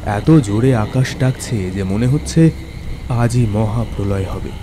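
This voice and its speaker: A man's voice: lowish voice, a little slow, noisy background. A man narrating a horror story in a dramatic, scripted storytelling style. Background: music.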